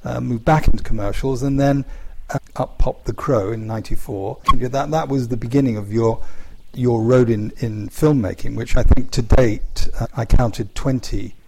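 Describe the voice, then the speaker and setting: A man's voice: medium pitch, fairly even intonation, normal speed, few pauses, background noise. A man interviewing a guest, asking long rambling questions with frequent hesitations and restarts.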